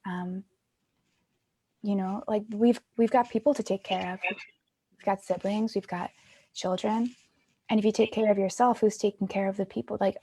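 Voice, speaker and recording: A woman's voice: mid-range voice, mellow. A woman in her twenties guiding an online creative workshop for young people in an encouraging, reflective way. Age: 20s. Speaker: workshop facilitator.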